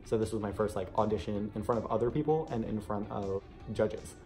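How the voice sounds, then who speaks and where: A man talks quickly in a casual vlog style, recounting his own experience. His voice sits a little on the low side, and his delivery is chatty and conversational, with music playing behind him. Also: warm tone. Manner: chatty, frequent "like" asides. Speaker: vlogger recounting an audition. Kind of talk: casual first-person vlog storytelling.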